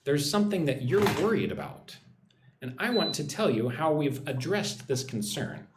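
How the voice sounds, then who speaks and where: A man's voice: fairly high pitch, sing-song, reverberant, noisy background. An American man teaching English on a live stream, reading out viewer comments and sounding out words for listeners to repeat. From United States. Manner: reads viewer comments aloud, models pronunciation slowly.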